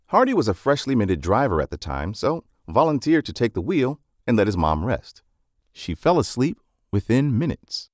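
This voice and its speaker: A man's voice: mid-range voice, no room sound, clean studio sound. A man reading a scripted non-fiction book summary as narrator, with polished, emphatic phrasing.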